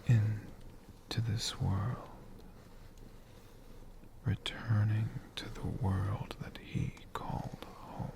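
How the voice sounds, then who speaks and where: A storyteller whispering a tale for ASMR in a hushed, breathy voice with slow, dramatic pauses. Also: mid-range voice, level tone. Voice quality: breathy whisper with creaky edges.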